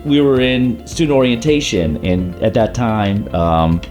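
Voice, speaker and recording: A man in his thirties or early forties from South Carolina, born in China, reminiscing in a conversational interview with halting, thoughtful phrasing. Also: medium pitch, animated, a little mellow, soft background music. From grew up in South Carolina, born in China. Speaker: law school alumnus, interviewee.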